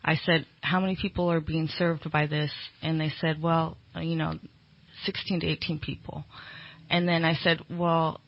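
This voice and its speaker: A woman's voice: low-pitched, little pitch movement, moderate pace. A woman political candidate answering interview questions conversationally, explaining things with some hesitant phrasing. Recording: dull, lo-fi radio interview audio.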